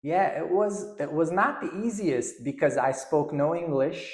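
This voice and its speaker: A man's voice: a little high, measured pace, echoey room. A man from Lebanon, Arabic his first language, talking casually as a podcast guest with many fillers and restarts. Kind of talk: casual interview chat.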